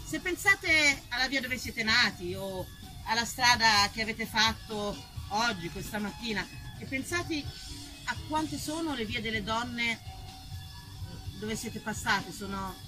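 A woman from Tuscany performing a theatrical monologue as an actress and storyteller, expressive and emphatic, dwelling on single words. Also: a little high, unhurried, music in the background, low-quality recording. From Tuscany, Italy. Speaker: stage actress, writer and storyteller.

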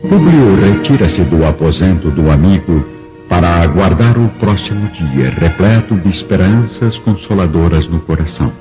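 A man's voice: deep voice, expressive, normal speed. A man with a Brazilian accent narrating a scripted radio drama in a solemn, literary manner. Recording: muffled audio, music underneath.

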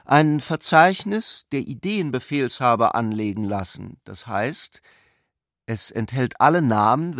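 A man's voice: medium pitch, no echo, clean studio sound. A man reading literary prose and its dialogue as the narrator of a radio play.

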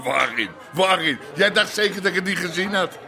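An actor playing a gruff, teasing underworld husband in a Dutch radio drama. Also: male voice, high-pitched, animated, brisk, music in the background.

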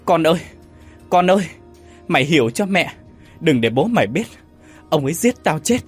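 A man's voice: on the high side, lively intonation, moderate pace, fairly bright tone, breathy edge, soft background music. A man voicing a distraught middle-aged mother who pleads shrilly in an audio story. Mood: panicked pleading.

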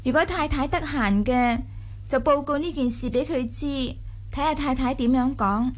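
A woman's voice: sits high, moderate pace, lightly acted. A woman playing a young, shrewd, imperious mistress of a rich household in a Cantonese radio drama. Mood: brisk, commanding. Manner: imperious, smoothly sociable.

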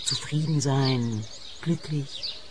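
A woman's voice: very deep voice, takes it slowly, noisy background. A woman acting a peevish, discontented grown daughter in a radio play, snippy and whiny. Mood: self-pitying. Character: peevish, discontented adult daughter, a cornered killer. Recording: outdoor ambience with birdsong. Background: birdsong.

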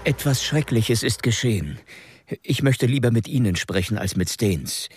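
An actor playing an agitated young gentleman in a radio drama, nervously flippant, flustered and talkative. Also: mid-range voice, lively intonation, moderate pace, background noise, natural voice. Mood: urgent, grave. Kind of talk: German radio drama acting.

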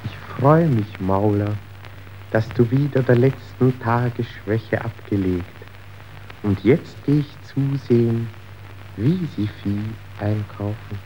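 An actor playing a subdued, approving associate of a tycoon in a radio drama. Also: male voice, mid-range voice, takes it slowly, warm tone, a little hiss or hum, natural voice, rough recording. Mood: quietly approving.

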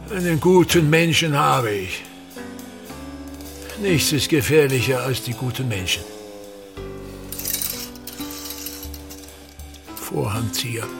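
Grandiose old stage tyrant in a radio play, slow and scornful, croaky voice that swoops around. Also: male voice, medium pitch. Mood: contemptuous. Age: older. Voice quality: gravelly, husky. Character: pompous, tyrannical ageing male theatre actor-manager berating his son. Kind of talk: theatrical radio drama monologue.

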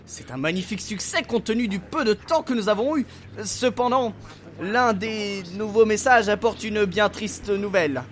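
Man playing a high-voiced, ceremonious cult priest. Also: normal speed, a little hiss or hum, natural voice. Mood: deferential, formal. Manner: formal, deferential, ceremonious. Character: obsequious male cult priest, reverent and formal. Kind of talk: French audio drama acting. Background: faint dramatic music.

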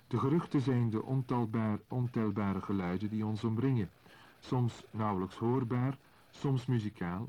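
A man presenting on radio, reading a scripted introduction in a measured, explanatory way. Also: lowish voice, mellow.

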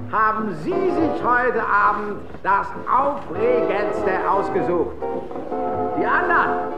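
A showy, very high-voiced master of ceremonies in a radio play. He is gleeful and pushy, coaxing the crowd with theatrical flourish. The sound is echoey, with loud music playing behind him. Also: full character voice. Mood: grand, welcoming. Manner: theatrical, crowd-working, drawn-out exclamations, coaxing questions. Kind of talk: radio drama acting as show announcer.

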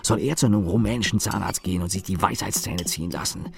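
Casting: jaded engineer, low gravelly voice, dry radio-play inner monologue in fragments. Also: male voice, quick pace. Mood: bitter, contemptuous. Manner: clipped fragmentary sentences, sardonic. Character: weary, cynical male office engineer, brooding inner monologue.

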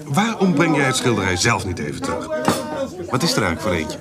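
An actor playing a cunning fairground rogue in a Dutch audio drama, colloquial, stammering and haggling or servile by turns. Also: male voice, fairly low pitch, sing-song. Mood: suspicious haggling. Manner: colloquial, hesitant, halting with many stammers.

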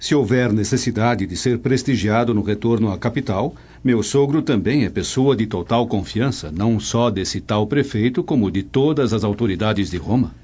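Man acting a troubled Roman nobleman, slightly husky. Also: fairly low pitch, normal speed, hardly any pauses, darkish tone. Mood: earnest, regretful. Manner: formal, earnest, theatrical diction. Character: troubled, apprehensive Roman nobleman, brooding and courteous. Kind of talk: radio drama acting. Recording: dull audio over music bed.

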